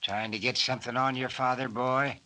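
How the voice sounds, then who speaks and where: A man's voice: medium pitch, steady tone, moderate pace, bright, forceful tone, lightly acted. An actor playing a wary, stubborn old small-town mayor and father with rustic, ungrammatical speech, in old-time radio style. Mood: wary, grudging. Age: older. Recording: noisy old radio recording with clicks.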